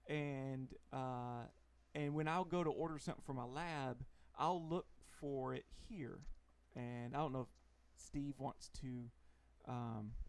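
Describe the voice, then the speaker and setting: A man with a Texan accent hosting a casual livestream, relaxed and chatty, laughing often. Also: medium pitch, no room sound. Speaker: host.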